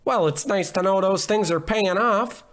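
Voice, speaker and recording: An actor playing a wisecracking, cheap private detective with a deadpan, hard-boiled delivery in 1940s radio noir parody. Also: male voice, high-pitched, moderate pace. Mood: wry. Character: wisecracking, down-on-his-luck male private detective.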